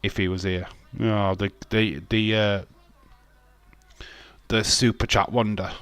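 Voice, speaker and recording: A middle-aged Yorkshire man talks his way through a livestream in an unhurried, rambling drawl, chuckling often and stumbling over his words. His voice sits a little low for a man. The sound is close and dry, with no room around it. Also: flat delivery, a bit bright, smooth, no room sound. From Yorkshire, England. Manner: rambling, laughs often, frequent restarts and filler. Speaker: livestream host. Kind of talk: casual watch-along chat, reading viewer comments.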